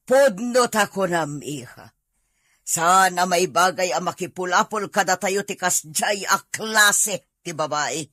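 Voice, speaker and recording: A haughty middle-aged mother in a radio-style drama, played by a woman. She has an Ilocano Filipino accent and a bright, forceful edge. She talks slowly, with open disdain and accusation, in a dry, close recording. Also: lowish voice, lively intonation, no room sound, exaggerated voice. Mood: contemptuous. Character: haughty, scornful middle-aged mother guarding her son.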